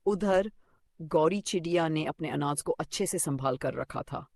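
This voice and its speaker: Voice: medium pitch, measured pace, creaky, a little mellow. A narrator reading a scripted children's story.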